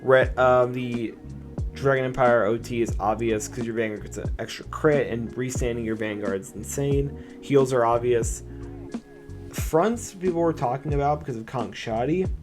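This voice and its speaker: A young man with a slightly raspy voice, chatting casually through a card game deck test and explaining his plays. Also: medium pitch, a little mellow. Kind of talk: casual gameplay commentary. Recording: music playing underneath.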